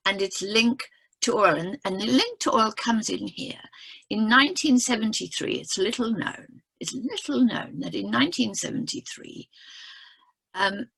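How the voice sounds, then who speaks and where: An older woman from South Africa talks on a video call. Her husky, gravelly voice rises and falls a lot as she tells the story with emphasis. She keeps checking in with her listeners. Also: mid-range voice, fairly bright tone. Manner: emphatic storytelling with frequent "right?" asides. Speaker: economist speaking on a webinar panel. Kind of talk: impassioned explanatory talk.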